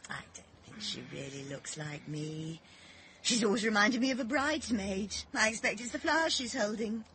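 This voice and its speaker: A woman's voice: mid-range voice, lively intonation, normal speed, fairly bright tone, a little hiss or hum, close to an everyday voice. An actress playing an eager, anxious middle-aged English mother in a radio drama, chatty and placating. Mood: wistful, hesitant.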